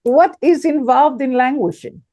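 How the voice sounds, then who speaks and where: A woman asks her question over a video call. She speaks carefully, pausing to find the right English words. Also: sits high, dark tone. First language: Hebrew. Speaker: audience member asking a question.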